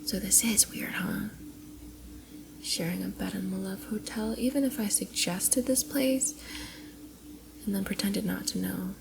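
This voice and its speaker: Casting: woman to play young cosplayer girl for intimate ASMR roleplay; flustered, teasing, affectionate, medium pitch with creaky edges. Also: measured pace, long pauses, noisy background. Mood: soft, coy. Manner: giggly, coy, often dropping into soft breathy murmurs. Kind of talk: ASMR roleplay, intimate one-sided dialogue to the listener.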